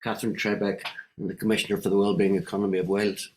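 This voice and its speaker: A man's voice: fairly low pitch, level tone, rapid-fire, low-quality recording. A middle-aged man with a Northern Irish accent, speaking on a video call in a friendly, hesitant way. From Northern Ireland. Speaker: guest speaker from a Welsh wellbeing group. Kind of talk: online meeting contribution.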